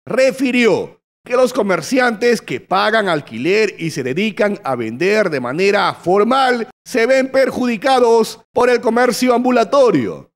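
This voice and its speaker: A man with a Peruvian Spanish accent reading a scripted local news report in a brisk, formal way. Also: high voice.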